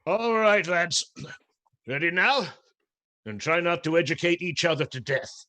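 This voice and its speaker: An actor playing a jovial, bold outlaw leader in a radio play, with a hearty, teasing manner. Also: male voice, fairly high pitch, expressive, measured pace, sharp tone. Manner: hearty, teasing, laughing outbursts. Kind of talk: stage radio play acting.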